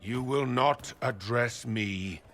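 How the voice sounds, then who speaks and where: Slow, forceful, arrogant holy commander. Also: male voice, medium pitch. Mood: scornful. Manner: haughty, scornful, pompous. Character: arrogant, contemptuous male commander of a holy order. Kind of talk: dramatic fantasy video game acting.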